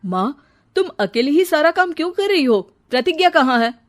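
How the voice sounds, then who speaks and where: A woman's voice: high-pitched, sing-song, moderate pace, pitched above the performer's own voice. A woman voicing an impatient young husband who grumbles sternly in a story. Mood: concerned.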